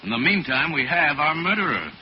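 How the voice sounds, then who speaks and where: An actor playing a calm, authoritative crime-fighting detective in 1940s radio style, with measured, formal, explanatory delivery. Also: male voice, on the high side. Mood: assured, explaining. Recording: noisy, muffled 1940s radio recording.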